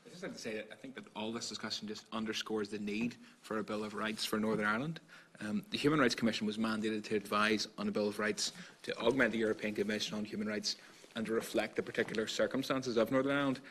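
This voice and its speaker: A man giving formal, steady testimony on policy to a committee. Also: on the low side, fast talker, slight background noise. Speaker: panel witness.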